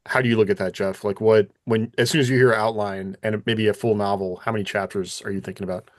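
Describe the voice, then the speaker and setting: Male podcast host, lowish register, conversational questioner, dry recording. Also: fairly even intonation, fast talker, dry recording. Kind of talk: casual discussion and interviewing.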